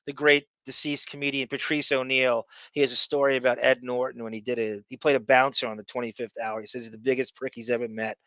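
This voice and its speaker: A man's voice: medium pitch, flat delivery, fast, hardly any pauses, fairly bright tone, dry recording, rough recording. A man hosting a podcast with casual, opinionated commentary, blunt and profane in an emphatic way. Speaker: podcast host.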